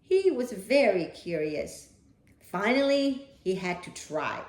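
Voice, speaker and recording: A woman's voice: mid-range voice, very animated, slow, roomy sound. A woman reading a children's picture book aloud expressively, with dramatic delivery and playful asides to a young listener.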